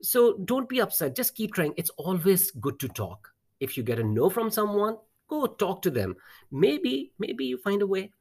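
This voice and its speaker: A man's voice: high-pitched, sing-song, normal speed, darkish tone, breathy edge. A middle-aged man whose first language is Urdu, a math teacher telling a personal story as an interview guest, earnest and encouraging, often repeating words as he searches.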